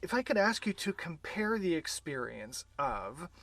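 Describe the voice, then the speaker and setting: A man's voice: fairly high pitch, very animated, measured pace, gravelly, fairly bright tone, no echo. A middle-aged American man hosting a podcast, introducing and interviewing a guest. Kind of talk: scripted introduction and live conversational interview.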